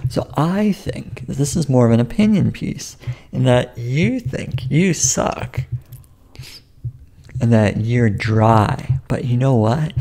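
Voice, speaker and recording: A man with a calm, low voice, giving casual, rambling advice to camera and often restarting his sentences. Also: creaky. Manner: rambling and self-interrupting, with restarts. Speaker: YouTube creator giving advice.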